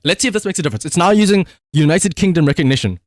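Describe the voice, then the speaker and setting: South African male game streamer, excitable, very lively pitch, dry close sound. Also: very fast, no room sound. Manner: animated, excitable, exclamatory. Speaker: livestreamer. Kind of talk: live gaming stream commentary, calling out voice commands.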